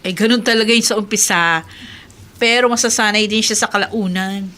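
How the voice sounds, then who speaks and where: An actress playing an assured, matter-of-fact office worker in a Filipino radio drama. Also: female voice, mid-range voice, fairly even intonation, moderate pace, sharp tone, close to an everyday voice.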